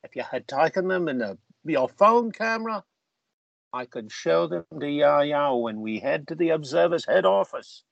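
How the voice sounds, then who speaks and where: A man's voice: a little high, expressive, normal speed, gravelly, no room sound, lo-fi sound. A man playing a joking eldest brother who teases his younger sister. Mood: teasing. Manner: teasing, jokey banter. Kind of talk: amateur audio drama acting.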